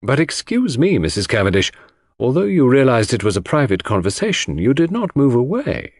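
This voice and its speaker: A man voicing a courteous but stern male coroner in an audiobook, asking formal, measured questions. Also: medium pitch, sing-song, slightly rough, dry recording, clean studio sound, pitched above the performer's own voice. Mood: probing. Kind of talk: audiobook character dialogue.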